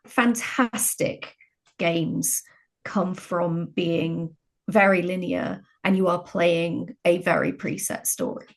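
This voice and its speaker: A woman's voice: on the low side, lively intonation, takes it slowly, vocal fry. A woman talking as a podcast guest in a conversational discussion, earnest and working out her thoughts as she goes. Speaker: podcast guest, game writer.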